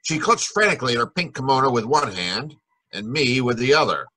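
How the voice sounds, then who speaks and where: A man playing a hard-boiled private detective in a 1940s-style radio drama, wry, brisk and tough-talking, with a husky voice. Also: medium pitch, lively intonation, background noise, close to an everyday voice. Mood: wry narrating.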